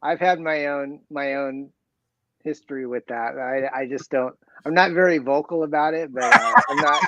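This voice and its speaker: A man's voice: a little high, normal speed, pushed and bright, no echo. A man of about sixty chatting casually as a guest, with a mild, modest, slightly hesitant manner, over lo-fi audio. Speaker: live chat guest. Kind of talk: casual chat.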